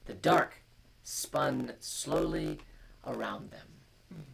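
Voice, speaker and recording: A storytime host reading a picture book aloud in a hushed way that drops into whispers, and chatting casually. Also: mid-range voice, expressive, extremely slow, creaky, over a noisy crowd.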